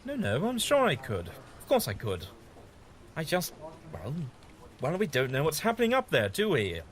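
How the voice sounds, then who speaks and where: A man's voice: fairly high pitch, huge pitch swings, normal speed. A man playing a whimsical, kindly, evasive time-travelling adventurer in an audio drama, with fussy and hesitant politeness. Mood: gentle, hesitant.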